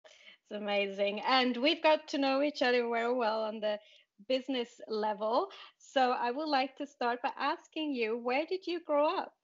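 A woman's voice: fairly high pitch, normal speed. A woman hosting an interview podcast, asking her guest questions in a friendly way. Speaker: podcast host. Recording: dull lo-fi audio.

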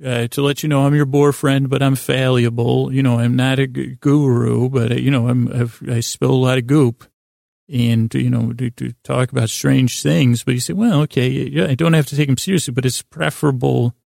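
An American man hosting a sleep podcast in a meandering, halting monologue full of self-interruptions, with a whimsical, self-deprecating manner. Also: mid-range voice, fairly even intonation, vocal fry, a little mellow, dry recording, clean studio sound. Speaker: podcast host.

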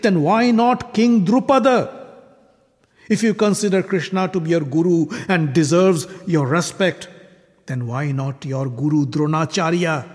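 A man's voice: sits high, pitched above the performer's own voice. A man voicing a furious, arrogant king who hurls scornful insults in a retold legend. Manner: scornful, ranting accusations. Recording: long hall reverb.

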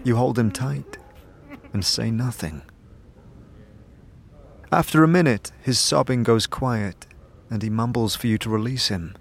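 A man's voice: medium pitch, measured pace, gravelly, long pauses. A man narrating a story in the second person in a dramatic audio drama style, with music behind him.

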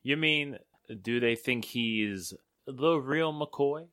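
A man's voice: medium pitch, slow pace, sharp tone, dry recording, clean studio sound. A man acting a playful, wisecracking fellow in a 1940s-style radio drama, with jokey, theatrical patter. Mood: playful, wry.

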